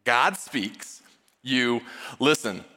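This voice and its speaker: A man preaching and voicing a calm, commanding God who speaks plainly, firmly and without hurry. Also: mid-range voice, very slow, voice pushed down, full character voice. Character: calm, commanding God giving a casual call. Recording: reverberant hall sound.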